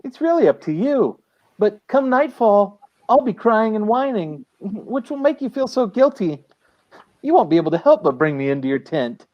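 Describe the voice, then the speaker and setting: A man's voice: high voice, big pitch swings, normal speed. Man playing a needy talking hound, muffled lo-fi. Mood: wheedling. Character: male talking hound, needy, wheedling, easily offended. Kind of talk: radio comedy acting over video call. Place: room at home, video call. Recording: dull lo-fi video-call audio.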